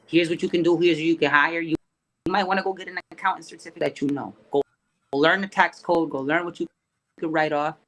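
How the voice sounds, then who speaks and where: A man's voice: a little high, fairly even intonation, fast talker, a bit bright. A man, a rapper guest on a podcast, talking casually in loose street slang.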